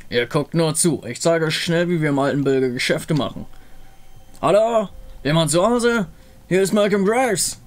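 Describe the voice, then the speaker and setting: A man's voice: fairly high pitch, moderate pace, lightly acted. A man voicing a boastful, easily flustered outlaw gunslinger with a blustering manner.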